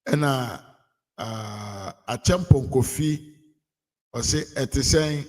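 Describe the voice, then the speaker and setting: A man's voice: medium pitch, drawn-out words, a little mellow. A Ghanaian man hosting a live show, reading out viewers' comments one after another and mixing Twi with English. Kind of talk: casual live-stream chat.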